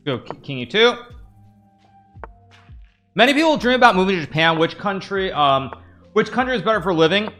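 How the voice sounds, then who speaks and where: A man's voice: high-pitched, big pitch swings, normal speed, pauses often, fairly bright tone, soft background music. An American man in his thirties, streaming a chess game, thinking aloud and answering chat questions in a casual, rambling way. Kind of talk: casual live-stream commentary.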